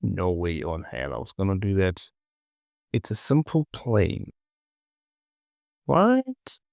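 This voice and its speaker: A man presenting a casual software tutorial, informally talking himself through the work and often repeating words, close to the mic. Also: deep voice, wildly swooping pitch, slow pace, creaky, no room sound.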